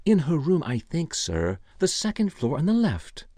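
A deferential butler voiced by a man in an audiobook, apologetic and unsure of himself. His voice is pitched up and very dry in sound. He speaks hesitantly, like a servant caught out. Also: huge pitch swings, normal speed, warm tone, no echo. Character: sheepish, deferential male butler. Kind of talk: audiobook character voice.

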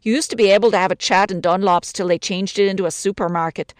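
A woman reading a story aloud, voicing a chatty, homely widow who misses the quiet of the country. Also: mid-range voice, steady tone, fast talker, dry recording, studio quality, voice pushed up, close to an everyday voice. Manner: chatty, homely, warm-hearted talk.

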